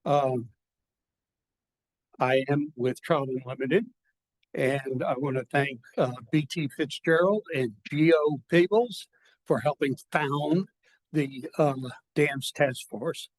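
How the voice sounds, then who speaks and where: Halting older man giving a talk. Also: fairly high pitch, poor audio. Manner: folksy, halting, self-deprecating with slides. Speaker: guest speaker at a seminar. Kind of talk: casual conference presentation. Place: lecture hall. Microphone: handheld mic, held low at times.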